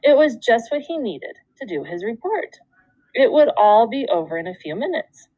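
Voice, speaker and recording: Expressive woman reading a story aloud. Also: on the high side, normal speed, dry recording, highs cut off. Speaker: second grade teacher. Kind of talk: children's book read-aloud.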